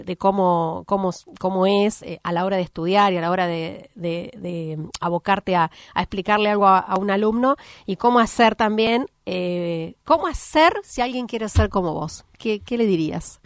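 A woman with a Buenos Aires area Argentine accent, hosting an informal interview in a chatty, somewhat halting way. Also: mid-range voice, no room sound, clean studio sound. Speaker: interview host.

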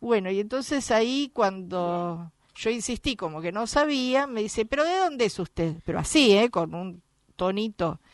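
A woman's voice: medium pitch, lively intonation, unhurried, dry recording, studio quality. A woman from Argentina with a Rioplatense accent, hosting a radio chat and telling anecdotes in a chatty way. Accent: Argentine Rioplatense Spanish. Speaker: radio host.

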